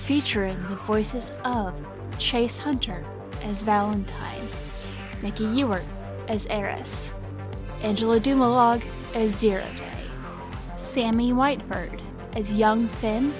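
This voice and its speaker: A woman's voice: on the high side, slow pace. A woman reading scripted credits as the announcer of an audio drama. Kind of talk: scripted narration. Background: prominent music.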